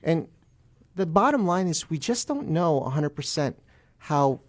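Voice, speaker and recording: An American man, an attorney guest on a radio interview, explaining things in plain terms with halting self-corrections. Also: a little high, very animated.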